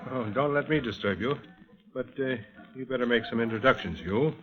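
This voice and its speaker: An actor playing a self-assured police inspector, sly and mock-casual, in a 1940s radio drama. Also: male voice, mid-range voice, a little fast, natural voice. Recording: dull vintage radio recording.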